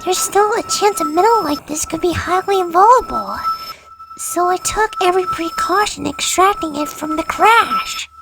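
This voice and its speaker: A woman's voice: extremely high pitch, moderate pace, over music, poor audio. A woman voicing a young, brainy, earnest boy fox inventor in a cartoon audio story. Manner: earnest, technical explaining.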